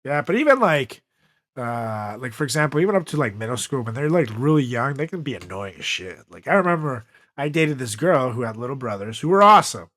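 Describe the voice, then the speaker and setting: A man's voice: medium pitch, very animated, a little fast, a bit bright, dry recording. A man hosting a comedy podcast in casual banter, swearing freely and rambling through his stories in a joking way. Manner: profane, joking, rambling storyteller. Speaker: podcast host.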